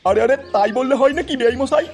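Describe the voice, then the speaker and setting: A man playing a crude middle-aged village father in a comedy cartoon, exclaiming and fussing at everyone around him. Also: very high voice. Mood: eager, reassuring. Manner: exclamatory, scolding then coaxing, drawn-out lamenting. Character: middle-aged village father, crude, henpecking husband, pompous groom's dad. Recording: music behind the voice.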